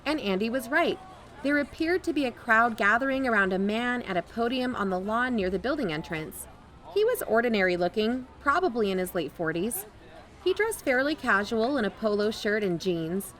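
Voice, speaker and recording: A woman playing a watchful investigator, narrating in the first person for an audio drama in a measured, dryly observant way. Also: on the high side, lively intonation, a bit bright. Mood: watchful, wry. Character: watchful, wry female investigator narrating her covert visit.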